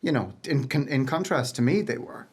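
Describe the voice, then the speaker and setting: A man in his thirties from Ireland, talking as a guest in a relaxed interview. His pitch sits in an ordinary middle range. He tells stories in a chatty way and often backs up to restart a sentence. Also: moderate pace, gravelly, breathy edge. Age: 30s. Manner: chatty and storytelling, with frequent restarts and laughs. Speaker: guest singer. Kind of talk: casual interview chat.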